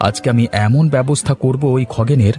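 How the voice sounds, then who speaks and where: A man's voice: medium pitch, steady tone, brisk, mellow, close to an everyday voice. A man voicing an assertive, protective and righteous village young master in a cartoon. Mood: righteous anger. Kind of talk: cartoon dialogue acting.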